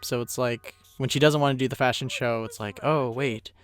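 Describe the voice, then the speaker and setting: A man's voice: mid-range voice, fairly even intonation, moderate pace. A man hosting a casual commentary as the creator of a series, talking over the episode's audio. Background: music and cartoon episode audio.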